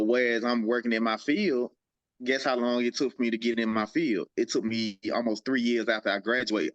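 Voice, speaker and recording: A man in his thirties with a low voice shares earnest reflections on a podcast and repeats his key sayings for emphasis. The audio is dry and close. It also sounds a little dull and lo-fi. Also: fairly bright tone, dry recording. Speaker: podcast co-host. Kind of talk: casual conversational podcast chat.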